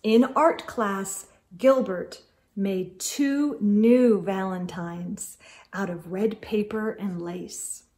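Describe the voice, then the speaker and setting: Slow-paced middle-aged woman reading to children. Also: medium pitch, a little mellow. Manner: deliberate, clearly phrased delivery aimed at young children. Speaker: children's storytime host reading aloud. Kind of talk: expressive picture-book read-aloud for children. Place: indoor room with bookshelves.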